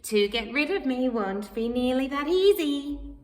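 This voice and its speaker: A woman voicing a tiny, smug, teasing flea in a read-aloud picture book, with a quick sing-song taunt. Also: high voice, animated, echoey room, big-room sound.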